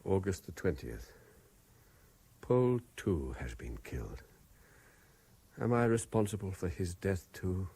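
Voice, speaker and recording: Weary troubled detective, low pitch, husky, very varied intonation, hesitant, guilt-ridden, radio drama. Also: male voice, big pitch swings, slow pace, pauses often, dark tone, heavily acted. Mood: brooding, grieving. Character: troubled, haunted male French private investigator, guilt-ridden and weary. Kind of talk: 1970s radio drama acting, with narrated diary passages.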